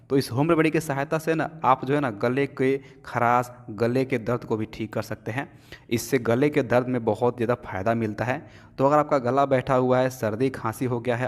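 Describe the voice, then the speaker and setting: A man giving a chatty home-remedy cooking demonstration in a home kitchen, often addressing viewers as friends. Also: medium pitch. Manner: chatty, keeps saying "friends" and filler "na". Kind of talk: cooking demonstration with health tips. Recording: long reverb.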